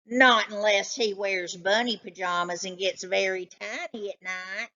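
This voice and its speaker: A woman's voice: medium pitch, steady tone, unhurried, bright, forceful tone, highs cut off. A woman voicing a dry, skeptical male family dog in a children's storybook, with a wry, incredulous manner.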